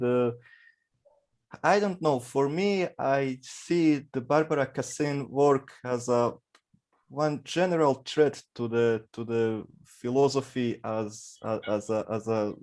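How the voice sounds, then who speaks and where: A man asking questions at an academic round table, halting and searching for his words. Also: medium pitch, darkish tone. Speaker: panel moderator.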